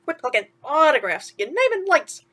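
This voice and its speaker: A woman's voice: sits high, very animated, normal speed, pushed and bright, exaggerated voice. A woman voicing a brash little male mouse from a children's storybook, bossy but encouraging, with slangy, punchy delivery. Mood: rousing, bossy. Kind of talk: children's storybook character reading.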